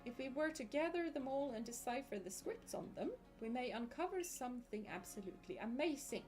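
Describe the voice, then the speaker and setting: A woman voicing an excitable, old-fashioned male professor in a video game, trying a Scottish accent, with theatrical, formal phrasing. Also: high-pitched, a little fast, soft background music. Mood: excited wonder. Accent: put-on Scottish attempt.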